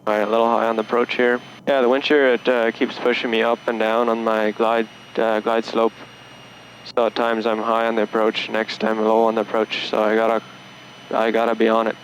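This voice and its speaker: Man, even-toned, over cockpit drone. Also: fairly low pitch, a little fast, poor audio. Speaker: student pilot narrating a solo flight. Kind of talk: casual in-cockpit vlog chat and radio calls. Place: small airplane cockpit in flight. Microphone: cable-fed in-cockpit headset audio. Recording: cockpit audio with steady engine noise. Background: light aircraft engine drone.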